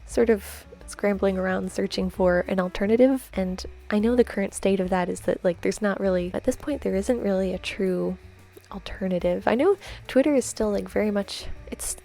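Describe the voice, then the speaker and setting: A young woman chatting and rambling while she draws, soft-spoken and giggly, her voice a little off from a cold. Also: medium pitch, normal speed, gravelly. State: slightly congested from a cold. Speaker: art vlogger. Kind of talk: chatty voice-over while drawing. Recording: faint background music.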